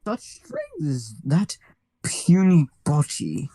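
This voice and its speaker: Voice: medium pitch, huge pitch swings, slow pace, mellow, exaggerated voice. A performer in a live amateur anime fandub voicing a haughty, scornful devil in a pompous, declaiming manner. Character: haughty, scornful devil demanding blood and humans.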